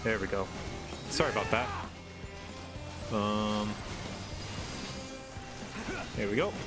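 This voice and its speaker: A man's voice: on the low side, slow pace. A man with a general American accent who grew up in Thailand, chatting casually while streaming a game, rambling and laid-back with lots of "like". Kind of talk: casual gaming stream chat. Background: game music.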